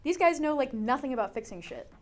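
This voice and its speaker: A woman's voice: sits high, expressive, fast, darkish tone. A woman playing an upbeat, coaxing young rave host in a scripted TV drama read. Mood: breezy.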